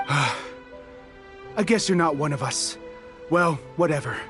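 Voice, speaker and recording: An actor playing an embittered young resistance soldier in a video game, proud, earnest and impassioned. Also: male voice, fairly high pitch, slow, a bit bright. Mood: anguished, bitter. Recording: background music under dialogue.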